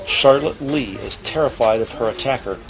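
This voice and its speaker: A man's voice: medium pitch, moderate pace, crowd noise, poor audio. A man reading scripted descriptive narration for an audio drama.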